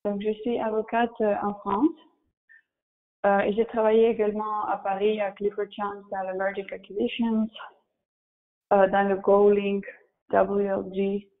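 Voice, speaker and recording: A woman's voice: mid-range voice, flat delivery, a little slow, narrow, tinny audio. A woman in her thirties with a Russian accent answers interview questions in French as a second language, often searching for words. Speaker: interview guest. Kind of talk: casual interview answers about career and work.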